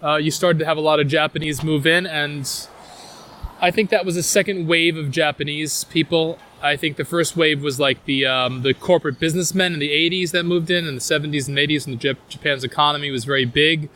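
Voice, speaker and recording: A man's voice: on the high side, steady tone, fairly quick, sharp tone, slight background noise. A man with a New York accent giving a casual walking tour outdoors on city streets, rambling enthusiastically with fillers and restarts. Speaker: tour guide.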